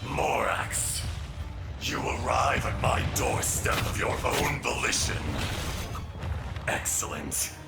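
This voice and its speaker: A vengeful ancient monster gloats in a harsh, gravelly voice. His pitch swings widely and he drags out his threats slowly. Loud game music rolls beneath. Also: sing-song, exaggerated voice. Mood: menacing. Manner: menacing, gloating. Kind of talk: video game voice acting.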